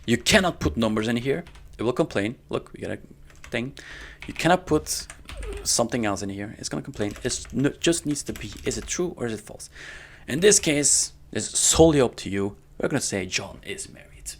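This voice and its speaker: A man giving a casual, step-by-step coding tutorial in an informal way, often checking in with the listener, with a gravelly voice. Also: medium pitch, expressive, moderate pace. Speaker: programming tutorial instructor. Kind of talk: casual screen-recorded coding lesson. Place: indoors at a computer.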